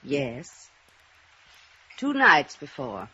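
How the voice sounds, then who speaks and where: A refined, anxious lady of middle age in vintage radio melodrama. Her voice is husky and breathy, with hesitant phrasing. The old recording is dull and muffled. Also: sits low, huge pitch swings, drawn-out words, bright, forceful tone, full character voice. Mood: frightened. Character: anxious, refined, mature woman with a hidden past. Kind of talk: 1950s radio melodrama acting.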